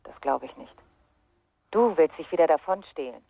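A woman's voice: low-pitched, lively intonation, normal speed, no echo, narrow, tinny audio. Casting: worried, reproachful mother, radio thriller, curt lines in a dry close sound. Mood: tense, reproachful. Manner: curt, insistent. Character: anxious, accusing mother of a missing son. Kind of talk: radio crime drama acting.

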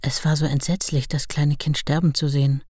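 A woman's voice: sits low, level tone, a little fast, darkish tone, husky, dry recording, clean studio sound. A woman playing a writer's long-suffering wife, recalling their life plainly and with restraint in a radio drama. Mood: sorrowful, restrained. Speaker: actress in a radio play. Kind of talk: documentary-like radio drama recollection.

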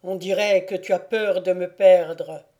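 A woman's voice: lowish voice, steady tone, unhurried, warm tone, lightly acted. A woman voicing a huge male stranger who is scornful and commanding.

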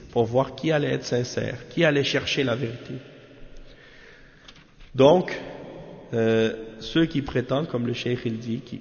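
A man's voice: medium pitch, vocal fry, darkish tone. A man teaches religion without a script. He explains didactically, restating phrases to drive each point home. The sound is muffled, with a long reverb around the voice. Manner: didactic, repeats phrases for emphasis, rhetorical check-ins. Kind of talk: extemporaneous religious lesson explaining a commentary.

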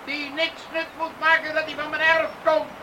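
A man acting a gruff, domineering farmer father in a radio drama, brusque and scolding as he gives orders. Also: extremely high pitch, level tone, normal speed. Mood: angry, scolding. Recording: distant, faintly noisy radio drama recording.

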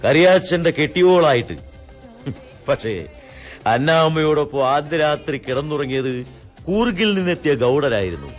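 An actor playing a bitter, drunken planter who taunts and broods theatrically in a radio drama. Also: male voice, on the high side, sing-song, moderate pace, pauses often, faint music behind. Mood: bitter, brooding. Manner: theatrical, brooding, swinging from murmur to outburst.

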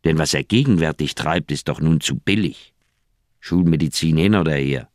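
Middle-aged doctor, very low voice, earnest and wordy, persuasive loyal friend, dry close radio drama sound. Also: male voice, normal speed, darkish tone, no echo. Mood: insistent, persuading. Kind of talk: German radio crime drama acting.

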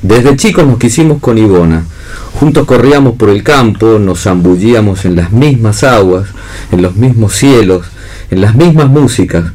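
A man's voice: lowish voice, animated, normal speed, a little mellow. An actor playing a jealous, lovesick man in a theatrical play reading. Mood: anguished longing. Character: jealous lovesick man, proud of being male. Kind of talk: read-theatre stage acting on radio.

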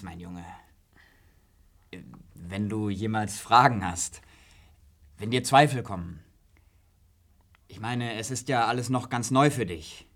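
An actor playing an awkward middle-aged father in a radio play, stiffly paternal and halting as he tries to stay tolerant. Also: medium pitch.

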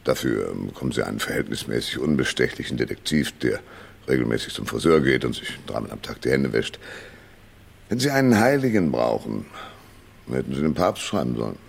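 An actor playing a cynical, wisecracking private detective in a 1970s radio noir parody, dry and laconic. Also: male voice, very deep voice, very animated, a little fast, full character voice. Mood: cool, insolent. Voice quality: gravelly. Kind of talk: 1970s radio drama noir parody, first-person narration and dialogue.

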